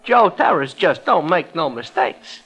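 An actor playing a cocky, gloating betting man with a gravelly, sharp voice and slangy needling talk, in an old radio drama. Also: fairly high pitch, very animated, a little slow, heavily acted. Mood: smug. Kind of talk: 1940s-50s radio comedy-drama acting.

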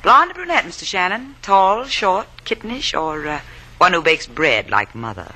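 An actress playing a flirtatious femme fatale, coy and theatrical, in a vintage radio drama. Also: female voice, fairly low pitch, sing-song, normal speed, pushed and bright, heavily acted, low-quality recording. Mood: coy, gushing. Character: flirtatious, sultry femme fatale running a lonely hearts club. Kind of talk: 1950s radio drama acting.

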